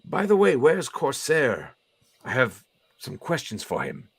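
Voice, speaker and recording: A man voicing a weary, dignified telepath professor from a comic book, speaking in formal, measured sentences. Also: medium pitch, huge pitch swings, creaky, a bit bright, slightly rough, dry recording, voice pushed up, exaggerated voice. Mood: grave, formal. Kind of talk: comic book read aloud in character.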